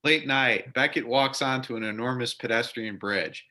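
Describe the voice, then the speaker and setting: A man's voice: medium pitch, moderate pace, bright, forceful tone. A man narrating scripted stage directions for a readers theater drama.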